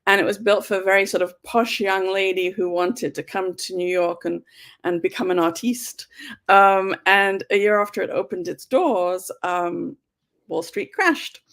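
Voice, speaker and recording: An English woman speaking with a gravelly, creaky edge, talking through her career as a historian and author. She is chatty and relaxed, dotting her answers with ums, and sounds like she is on a video call. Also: medium pitch, moderate pace. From United Kingdom. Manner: chatty, frequent ums and soft laughs. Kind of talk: conversational interview answers.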